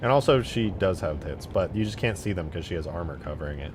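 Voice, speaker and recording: A man's voice: low voice, lively intonation, fast talker, a little hiss or hum. A man livestreaming as a game modder, chatting casually in a rambling, jokey way as he answers viewers. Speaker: live streamer and game modder.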